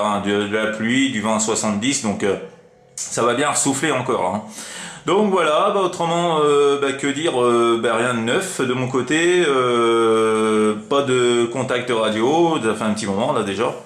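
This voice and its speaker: Man, medium pitch, roomy echo, informal rambling CB operator chat, lots of tag words. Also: fairly bright tone. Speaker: amateur CB radio operator. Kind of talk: casual radio chat. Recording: roomy, echoing room sound.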